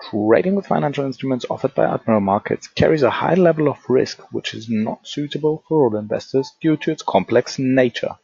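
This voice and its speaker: A man's voice: medium pitch, vocal fry, a little mellow, husky, dry recording. A man moderating a webinar from an office, politely reading a scripted introduction and risk disclaimer. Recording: dull lo-fi webinar audio.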